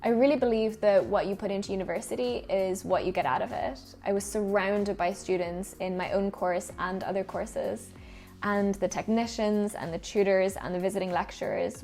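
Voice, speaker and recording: A woman's voice: medium pitch, creaky, a little mellow. A woman of around thirty with an Irish accent, narrating a personal vlog about her own life and work. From Ireland. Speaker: freelance photographer presenting a vlog.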